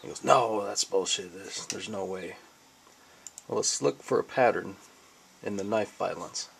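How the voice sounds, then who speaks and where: A man's voice: fairly low pitch, unhurried, gravelly, noisy background. An American man giving casual off-the-cuff reaction commentary in a scoffing, sarcastic manner. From United States. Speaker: amateur video commentator. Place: indoors in a dim home room, filming a screen.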